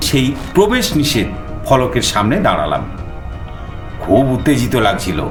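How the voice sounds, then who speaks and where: An actor playing an adventurous, eager explorer who narrates his own suspense audio story in an expressive manner. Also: medium pitch, moderate pace. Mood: suspenseful, excited. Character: male first-person narrator, adventurous, eager explorer visiting Paris. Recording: echoing voice over background music.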